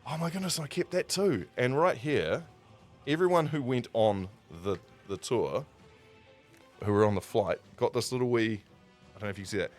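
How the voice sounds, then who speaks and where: A man with a New Zealand accent telling stories casually as a livestream host, in an animated raconteur's way with dramatic pauses. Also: mid-range voice. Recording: music playing behind the voice. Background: background music.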